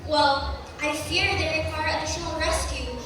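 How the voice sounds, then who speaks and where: A woman's voice: sits high, steady tone, moderate pace, close to an everyday voice. A teenage girl acting an outspoken, argumentative young daughter in a school play. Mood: arguing. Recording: echoey hall from far off, noisy.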